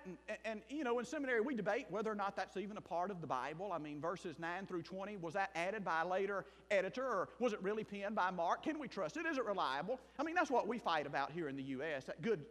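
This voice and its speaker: A man from South Mississippi with a Southern accent, preaching a sermon and telling his own story as a missionary pastor, shifting between loud bursts and hushed asides. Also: high voice, animated, quick pace, hardly any pauses. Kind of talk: sermon with personal testimony. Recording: long hall reverb.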